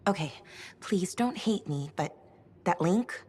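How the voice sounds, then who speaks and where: An actress playing a scrappy young woman adventurer in a video game, casual and defensive, with a husky voice. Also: lowish voice, animated, takes it slowly, darkish tone, slight background noise. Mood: sheepish. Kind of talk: video game cutscene dialogue.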